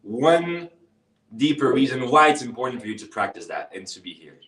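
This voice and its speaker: A man's voice: medium pitch, big pitch swings, normal speed, fairly bright tone, recorded from a distance. Upbeat male coach in a roomy space. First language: not English. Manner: calls participants brother and bro, upbeat coaching. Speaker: workshop host and speaking coach. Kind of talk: live online workshop, casual and encouraging.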